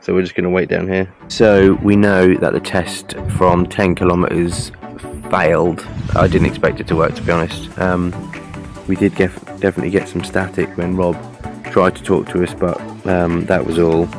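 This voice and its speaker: A man's voice: deep voice, little pitch movement, moderate pace, over music. A middle-aged British man chatting casually outdoors as he presents a hands-on gear review. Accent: British English. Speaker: vlogger reviewing walkie-talkies. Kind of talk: casual outdoor vlog chat. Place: outdoors, windy.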